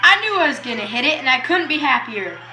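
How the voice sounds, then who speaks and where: A woman's voice: high voice, very animated, fast, reverberant, low-quality recording. A woman playing a proud, cheerful male baseball star in an amateur 1920s radio play. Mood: proud, happy.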